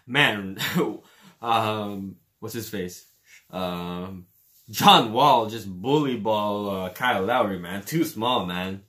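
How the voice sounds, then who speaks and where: A man with a Filipino accent giving casual commentary on a basketball game, filling pauses with "uh" and "man". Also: on the low side, pushed and bright. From Philippines. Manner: casual, many fillers, says "man" often. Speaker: fan commentator.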